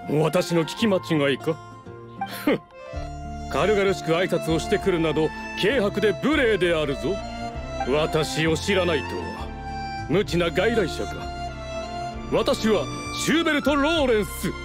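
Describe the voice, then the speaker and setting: A haughty young-blooded noble of a fallen house in a game cutscene. His voice sits high for a man, pompous and full of scorn, with prominent music underneath. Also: very animated, unhurried. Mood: indignant, haughty. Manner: pompous, scornful, archaic lordly speech. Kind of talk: theatrical video game dialogue.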